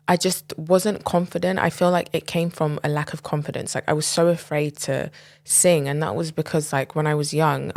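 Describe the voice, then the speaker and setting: A woman's voice: low voice, dry recording. A young woman in her twenties hosting a podcast, talking openly in a loose, chatty way with many "like"s and "um"s. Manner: chatty, lots of "like" and "um". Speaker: podcast host. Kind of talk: casual confessional monologue.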